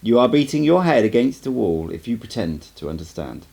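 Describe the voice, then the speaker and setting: A man voicing a stern, cryptic old sorcerer teacher in an audiobook, lecturing in a measured, emphatic way. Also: lowish voice, big pitch swings, gravelly, a little mellow. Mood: grave, cryptic. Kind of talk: amateur audiobook character reading.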